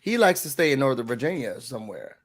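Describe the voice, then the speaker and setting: A slightly hoarse man hosting a casual TV recap chat, telling the story animatedly and acting out quotes. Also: medium pitch, sing-song, fast talker. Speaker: recap show host.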